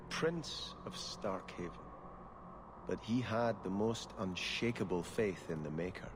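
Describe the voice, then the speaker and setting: An actor playing a pious, earnest young exiled prince in a video game, speaking formally and reverently with a Scottish accent. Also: male voice, lowish voice, noisy background, lightly acted. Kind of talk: dramatic video game dialogue.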